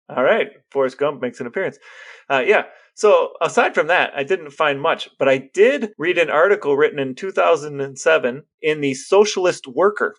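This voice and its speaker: A man's voice: on the high side, animated, moderate pace, a bit bright. A man co-hosting a casual podcast, trading wry jokes in loose, conversational banter. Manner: wry, joking. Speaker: podcast co-host. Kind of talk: casual banter between brothers.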